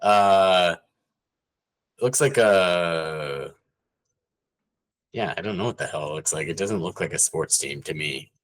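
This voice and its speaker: A man co-hosting a casual sports podcast, talking loosely with many fillers and getting animated when amused. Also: low voice, a little slow, long pauses. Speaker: podcast co-host.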